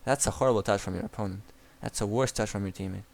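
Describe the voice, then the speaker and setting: A man's voice: fairly low pitch, fast talker, vocal fry, very noisy. A young man with a Croatian accent, bluntly coaching a player through a game replay with casual swearing. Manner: blunt and profane, frequent restarts. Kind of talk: replay analysis commentary.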